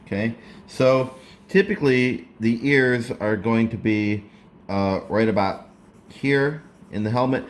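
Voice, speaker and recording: A man narrating a casual hands-on how-to, explaining step by step in a halting way, recorded indoors. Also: medium pitch. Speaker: presenter of a how-to video. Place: indoors, living room at a table. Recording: clear background room noise.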